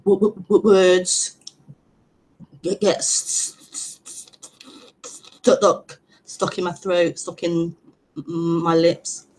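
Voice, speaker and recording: Slow-spoken woman with a stutter. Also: lowish voice, little pitch movement, poor audio. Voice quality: stutter with frequent repetitions and blocks. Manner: gentle, reflective, laughs lightly at herself. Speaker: non-duality teacher hosting an online meeting. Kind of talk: spiritual talk. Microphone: online call broadcast.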